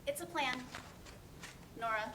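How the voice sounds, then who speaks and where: A woman's voice: high voice, slow pace, very noisy. A woman playing a wry, sarcastic young woman with a dry, natural delivery in a play reading. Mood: polite, weary.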